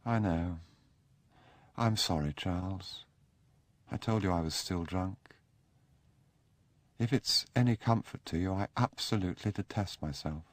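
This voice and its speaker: A man's voice: deep voice, measured pace, long pauses, no room sound, voice pushed up. A man reading an audiobook, voicing a wretched, drunk young English aristocrat with an emotional, pleading, clipped upper-class delivery. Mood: sullen.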